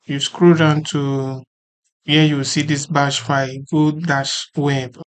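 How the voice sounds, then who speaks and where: A tutorial host explaining software step by step in an informal way, repeating points and often checking that the listener follows. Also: medium pitch, little pitch movement, slow pace. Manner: repetitive, often checking for understanding.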